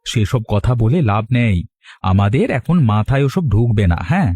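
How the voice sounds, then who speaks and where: A man's voice: lowish voice, very animated, normal speed, darkish tone, breathy edge, dry recording. A man playing a poor, hardworking young farmer in a Bengali cartoon, humble, plain-spoken and resigned. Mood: skeptical, plain-spoken.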